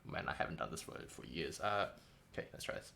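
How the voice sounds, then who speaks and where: A man's voice: a bass voice, lively intonation, fast, creaky, pushed and bright, a little hiss or hum. A man with a light New Zealand English accent, chatting casually and wryly on a live stream, often correcting himself. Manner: rambling, self-correcting, wry. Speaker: indie game developer streaming a devlog.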